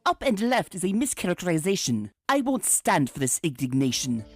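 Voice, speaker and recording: A woman playing a proud, formal zebra mare in a cartoon comic dub, with measured, stilted speech. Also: on the low side, big pitch swings, soft background music. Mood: defensive.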